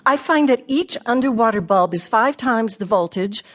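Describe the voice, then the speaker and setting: A woman's voice: a little high, expressive, moderate pace, fairly bright tone. An actress playing a shy, observant female poet who reads letters and verse aloud for radio theatre with dry, precise wit. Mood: amused.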